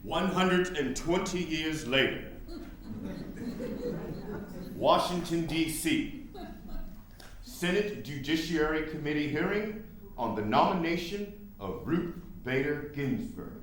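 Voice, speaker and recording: A man's voice: on the high side, expressive, measured pace. A man reading scripted narration to announce scene changes as a stage narrator. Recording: very echoey, noisy stage recording.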